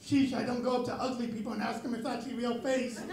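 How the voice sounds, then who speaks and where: A young man doing a self-mocking stand-up set with a very raspy, high voice, on a club stage. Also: quick pace, heavy background noise. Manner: self-mocking deadpan delivery. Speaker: stand-up comedian. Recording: echoey club with crowd. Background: audience laughter.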